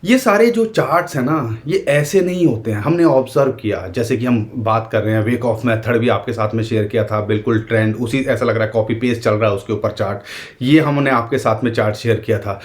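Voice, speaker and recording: A man's voice: mid-range voice, fairly quick. A man with an Indian accent explaining market charts to his viewers in casual, earnest talk, with a sore throat. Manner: earnest, emphatic, addresses viewers directly. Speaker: crypto market commentator.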